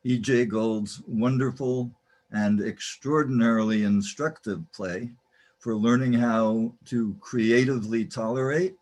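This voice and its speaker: A man giving scripted, theatrical narration for a radio play series in a measured, ceremonious manner. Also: medium pitch, steady tone, a little mellow.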